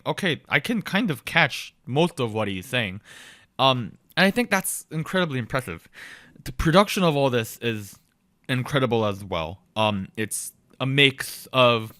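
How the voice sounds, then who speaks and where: A man's voice: mid-range voice, a bit bright, no echo, studio quality. A man co-hosting a music podcast in casual chat, rambling with frequent ums and self-corrections. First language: Korean. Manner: rambling, many ums and restarts, laughs at himself. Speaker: podcast co-host.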